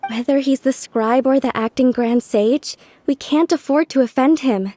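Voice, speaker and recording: A worried, mellow scholar. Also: female voice, high-pitched, moderate pace. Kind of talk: video game voice acting.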